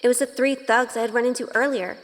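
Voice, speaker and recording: Woman as an earnest, innocent young girl, higher-pitched. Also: fairly even intonation, fast, close to an everyday voice. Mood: narrating. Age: young adult. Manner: earnest, polite, timid. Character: innocent, anxious good girl newly among witches. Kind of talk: audio drama acting with first-person narration.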